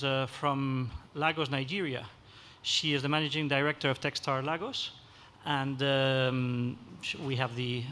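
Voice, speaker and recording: A man's voice: medium pitch, fairly bright tone, slight background noise. A man hosting a fireside chat, speaking hesitantly with many fillers. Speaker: host, moderator.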